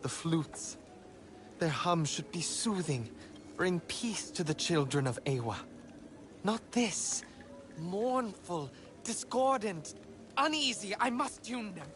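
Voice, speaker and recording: An actor playing a wry, eccentric old alien hermit in a video game, speaking in poetic, whimsical phrases. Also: male voice, sits high, expressive, slow, a bit bright, slight background noise, exaggerated voice. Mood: troubled. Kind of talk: video game cutscene acting.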